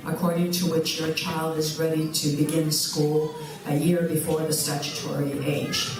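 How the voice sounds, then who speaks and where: Low, flat-voiced woman in echoey hall. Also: moderate pace. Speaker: school representative. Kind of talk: answering audience questions. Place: event hall. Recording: echoey hall, distant mic, noise.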